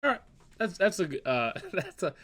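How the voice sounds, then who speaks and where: A man's voice: high voice, sing-song, moderate pace, pushed and bright. A man hosting a casual podcast chat, still congested and stuffy-nosed from being sick, upbeat and steering the questions. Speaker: podcast host.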